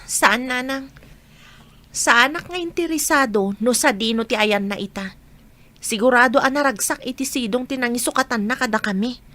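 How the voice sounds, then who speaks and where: A woman's voice: a little high, normal speed, sharp tone. A woman playing a hurt, guarded young mother in an Ilocano audio drama, reserved in manner.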